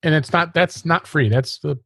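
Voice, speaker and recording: A man's voice: a little high, moderate pace, gravelly, fairly bright tone, dry recording, clean studio sound. A man hosting a casual tech podcast, rambling along and often repeating words as he restarts his sentences. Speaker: podcast host.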